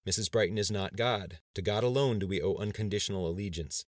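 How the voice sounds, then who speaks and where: A man as a deep-voiced resolute governor. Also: fast talker, no echo, studio quality, natural voice. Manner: firm, oratorical, solemn. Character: resolute, pious male state governor rallying his cabinet. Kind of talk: dramatized audiobook dialogue.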